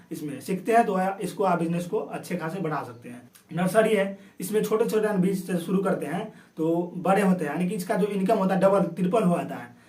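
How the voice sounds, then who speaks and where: A man's voice: high voice, a little mellow. A man with a sore throat, hosting an informal explainer and often rephrasing himself. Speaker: video host. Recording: roomy echo, distant from microphone.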